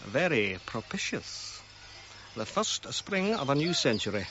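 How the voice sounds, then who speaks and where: An actor playing a keen, self-assured archaeologist in a radio drama, talking in a brisk, informal, hearty way. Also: male voice, mid-range voice, sing-song, bright, forceful tone, dull audio, slight background noise. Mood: hearty, persuasive.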